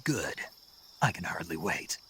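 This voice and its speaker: An actor playing a gruff, laconic American special forces soldier in a video game, with a gravelly voice and a terse, low-key delivery. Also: male voice, big pitch swings, a little slow, sharp tone, a little hiss or hum, full character voice. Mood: dry curiosity.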